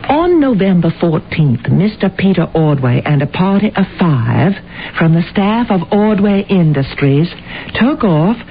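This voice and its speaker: Eager amateur sleuth on tinny radio. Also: female voice, mid-range voice. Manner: excitable, stammering, self-assured. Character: eager, nosy amateur-sleuth woman, devoted employee. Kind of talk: old-time radio drama acting. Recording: tinny, noisy old radio recording.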